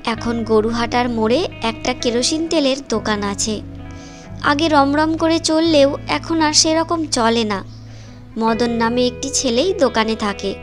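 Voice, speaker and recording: A woman narrates a scripted story. Clear background music plays behind her storytelling. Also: a little high.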